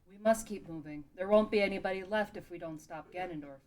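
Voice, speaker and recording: Lady acting out a stern warrior guardian woman, lowish voice, blunt and protective, fantasy table read. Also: fast, a little mellow, close to an everyday voice. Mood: pragmatic. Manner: blunt, firm. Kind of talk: amateur table read of a game fan script.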